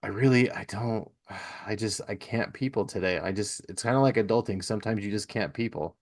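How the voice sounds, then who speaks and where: A man's voice: on the low side, brisk. A middle-aged man hosting a podcast in a casual, rambling, self-deprecating and slangy way. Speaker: podcast host. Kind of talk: casual chat.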